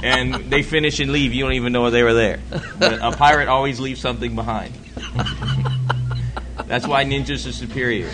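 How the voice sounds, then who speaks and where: A man's voice: medium pitch, fairly even intonation, normal speed, pauses often, background noise. A man co-hosting a gaming show, chatting casually and rambling through joking anecdotes. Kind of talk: casual chat.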